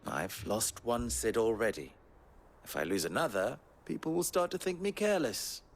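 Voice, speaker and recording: A man's voice: mid-range voice, expressive, normal speed, creaky. A man playing a suave, wry underworld fixer in a video game cutscene, with arch, formal phrasing. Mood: grave, wry.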